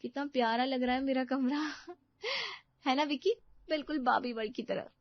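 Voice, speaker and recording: Woman playing a fussy, high-pitched young bride-to-be. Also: lively intonation, normal speed, no echo. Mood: delighted, coaxing. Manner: fussy, gushing, entitled. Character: Jyoti, demanding spoilt young bride-to-be. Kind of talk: Hindi audio story acting. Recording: slightly dull, dry studio recording.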